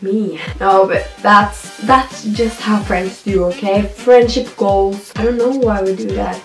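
A young woman with a Norwegian accent, chatting as a vlog guest in a careful, playful way as she searches for words. Her voice is mid-pitched, recorded in an echoey room with faint music beneath. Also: fairly even intonation, slow. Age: young adult. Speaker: guest YouTuber. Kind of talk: casual vlog chat. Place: indoor room at home.

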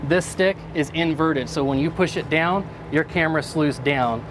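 A man's voice: on the high side, moderate pace, noisy background. A man in his thirties explaining a control station in a casual, plain-spoken way, with fillers, recorded inside a small equipment-filled room. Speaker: sensor operator explaining a drone cockpit.

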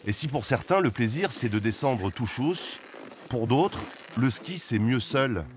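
A man giving scripted, measured narration for a television travel report. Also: medium pitch, expressive, over music. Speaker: documentary narrator.

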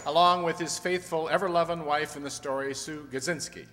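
A man's voice: a little high, steady tone, brisk, a crowd in the background. A man in his sixties, speaking as an announcer reading from a script on stage. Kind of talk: scripted cast credits in radio announcer manner. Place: on stage in a community hall. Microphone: stand microphone. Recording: echoey hall, loud audience and noise.

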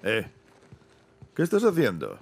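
An actor playing a seasoned older treasure hunter in a dubbed game, a wry, paternal, practical mentor with a Castilian Spanish accent. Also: male voice, lowish voice, wildly swooping pitch, a little slow, gravelly, slightly rough, faint music behind, heavily acted. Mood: practical, firm. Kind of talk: dubbed video game cinematic acting.

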